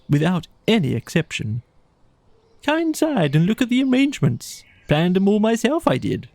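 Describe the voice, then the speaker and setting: Man putting on an excitable high-pitched boastful toad. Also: gravelly, no echo, heavily acted. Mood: rapturous, proud. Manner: exuberant, pompous, emphatic exclamations. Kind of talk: children's audiobook character voice.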